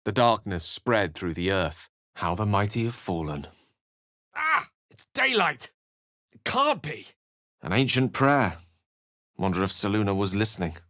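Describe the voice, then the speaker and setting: A man playing an eloquent, courteous wizard in a video game, articulate and literary in his measured delivery. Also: lowish voice, wildly swooping pitch, bright, forceful tone, dry recording, clean studio sound, heavily acted. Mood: forceful, exclaiming, then wry, courteous. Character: eloquent, courteous, bookish male human wizard, a wry adventurer. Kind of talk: video game character lines and narration.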